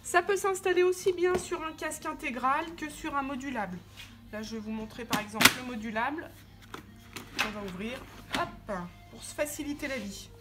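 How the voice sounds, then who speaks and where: A woman's voice: high-pitched, moderate pace. A woman giving an informal, hands-on product tutorial, explaining step by step as she handles the parts. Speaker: tutorial presenter for a motorcycle gear channel. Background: background music.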